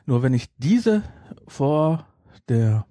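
A man's voice: medium pitch, very animated, extremely slow, mellow, no echo, clean studio sound. A middle-aged man in casual podcast conversation, making his points emphatically and repeating them for stress. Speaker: podcast host. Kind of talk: casual chat.

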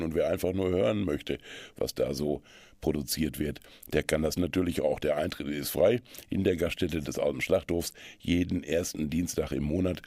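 A man's voice: extremely low pitch, darkish tone, no echo, clean studio sound. A man hosting a radio magazine on music and local events, talking in a chatty, explanatory way. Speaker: radio host.

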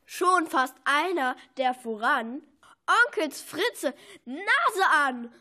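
A woman's voice: high voice, sing-song, drawn-out words, pushed and bright, full character voice. A woman playing a mischievous bookworm from a picture book in a children's radio play, declaiming with relish. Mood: reciting with relish. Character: cheeky prankster bookworm from a classic picture book.

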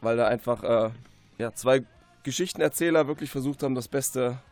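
A man's voice: mid-range voice, brisk, darkish tone, no room sound, soft background music. A man speaking as a guest author in a conversational radio interview, earnest, reflective and emphatic.

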